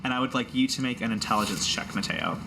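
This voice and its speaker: A man's voice: mid-range voice, fast talker, heavy background noise. A man narrating a tabletop game as game master, casual and wry in how he talks.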